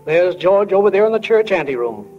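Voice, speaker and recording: A woman's voice: medium pitch, very animated, a little fast, warm tone, faint music behind, lightly acted. A woman playing a folksy, plainspoken small-town stage manager who narrates an old radio drama in a dull, reverberant recording. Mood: folksy, musing.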